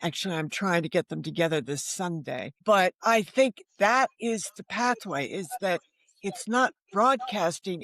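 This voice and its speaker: A woman's voice: mid-range voice, a bit bright, no room sound. American woman, seventies, podcast guest, emphatic storyteller, conversational, dry close sound. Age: 70s. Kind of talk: casual interview conversation.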